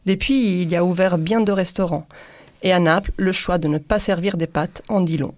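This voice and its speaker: A woman with an Italian accent, hosting and reading a reflective segment in a measured way, with occasional repeated syllables. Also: fairly low pitch, a little mellow, no room sound. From Italy. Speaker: podcast host. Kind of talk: reflective scripted commentary.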